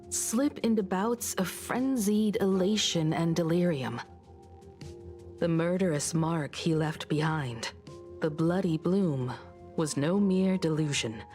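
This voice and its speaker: A grave investigator in a game drama, with a lowish voice. She speaks solemnly and with measured weight, troubled and brooding, over background music. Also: darkish tone. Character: grave, brooding senior investigator woman, troubled by past tragedy. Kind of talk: dramatic video game voice acting.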